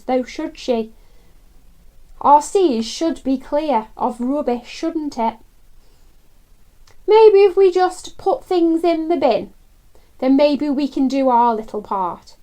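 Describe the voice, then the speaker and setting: High, lively woman reading to children. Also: takes it slowly, no echo. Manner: addresses young children with coaxing questions. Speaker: storytime host. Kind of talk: children's read-aloud narration.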